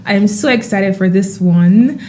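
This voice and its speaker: A young woman hosting a casual podcast chat, bubbly and quick to laugh. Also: medium pitch, a little mellow, slight background noise. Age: young adult. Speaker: podcast host.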